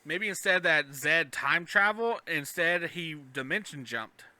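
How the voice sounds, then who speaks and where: A man's voice: fairly high pitch, animated, unhurried, bright, forceful tone, dry recording. A man talking casually on a fan podcast, trading theories with others. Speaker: podcast speaker.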